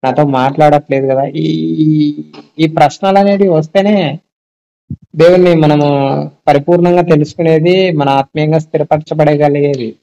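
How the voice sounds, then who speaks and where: A man's voice: fairly high pitch, fairly even intonation, measured pace, gravelly, long pauses, warm tone. A man talking casually on a phone call about faith, explaining and often asking check-back questions. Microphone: telephone.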